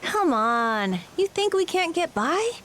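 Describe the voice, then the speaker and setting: Confident young daughter, video game, high-pitched, very lively intonation, teasing, self-assured. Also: female voice, takes it slowly, a little hiss or hum, heavily acted. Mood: teasing reassurance.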